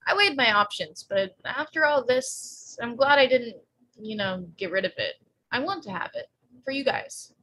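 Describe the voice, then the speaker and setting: A woman's voice: on the high side, animated, moderate pace, pushed and bright, low-quality recording. A woman playing a blunt, wisecracking pregnant teenage girl in a script table read. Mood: frank.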